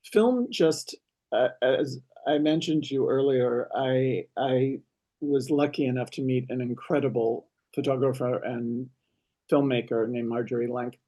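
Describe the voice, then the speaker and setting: A man's voice: mid-range voice, measured pace, mellow. A man speaking casually and conversationally as he conducts an interview.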